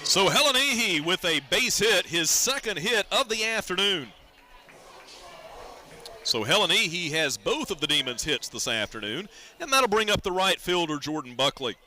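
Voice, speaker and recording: A man calls a baseball game for radio in a very bright, forward voice. His pitch sits a bit high, and he describes the play in a conversational way with easy asides. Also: very animated, moderate pace. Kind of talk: live baseball radio commentary.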